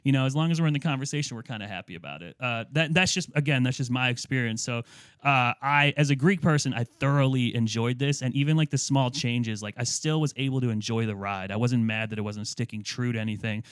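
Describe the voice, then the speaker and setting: A man's voice: medium pitch, fast talker, clear, resonant tone, no room sound, clean studio sound. A man co-hosting a casual movie review chat, rambling easily with lots of fillers. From United States. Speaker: podcast co-host.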